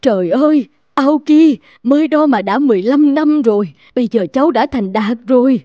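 A kindly elderly woman in a cartoon, with a high, soft-toned voice. She speaks in exclamations, and the recording is dry and a little dull. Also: lively intonation, moderate pace, dry recording. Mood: amazed. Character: kindly elderly woman, amazed and warm-hearted.

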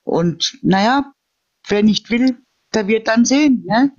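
A high-pitched man argues his faith in a casual, heated debate, pushing his point and ending sentences with a questioning "ne?". The audio is dull and lo-fi, coming through a phone on a live video call. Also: animated, takes it slowly, a little mellow. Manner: insistent, preachy, tags sentences with "ne?".

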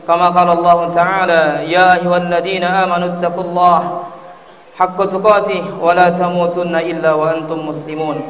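A man's voice: high voice, completely flat delivery, normal speed, a bit bright, muffled recording. A man, delivering a sermon as a mosque preacher in formal, exhorting oratory. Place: tiled mosque interior, at the pulpit. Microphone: mounted microphone at the pulpit. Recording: echoey hall, distant amplified voice.

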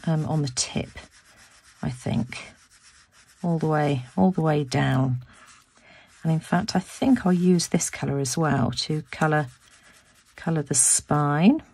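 A woman with a croaky voice talking through a craft demonstration at her table, thinking aloud as she works and often repeating words. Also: low-pitched, a little mellow. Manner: chatty, thinking aloud with restarts. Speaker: craft video host. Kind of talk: casual craft tutorial narration. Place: home craft room, tabletop.

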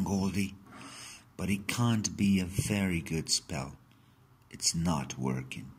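Male storybook narrator, slow pace, lowish pitch, expressive, dramatic. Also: slight background noise. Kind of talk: expressive read-aloud of a children's story, first person.

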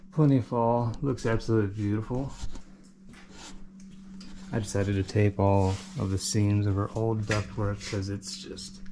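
A man in his twenties with an American accent, giving offhand, slangy commentary while working, on a noisy handheld camera. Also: medium pitch. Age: 20s. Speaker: HVAC installer vlogging. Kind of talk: casual on-the-job commentary. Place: indoor basement job site.

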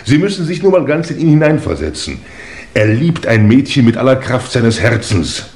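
A man's voice: medium pitch, animated, normal speed, darkish tone, close to an everyday voice. Echoey, theatrical, insistent investigator man acting. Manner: emphatic, repetitive, insistent. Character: eager, theatrical amateur-psychologist investigator. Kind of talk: 1950s German radio play acting.

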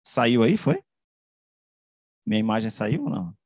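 A Brazilian man giving an academic slide presentation over a video call, halting, with frequent self-corrections and tag questions. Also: lowish voice, sing-song, darkish tone, no echo, clean studio sound. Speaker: researcher presenting a study. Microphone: computer mic on a video call.

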